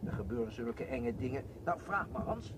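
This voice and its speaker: A man's voice: medium pitch, animated, normal speed, lightly acted. An actor playing a fussy, questioning older grandfather in a radio play. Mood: questioning, disapproving. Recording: clear ambient noise behind.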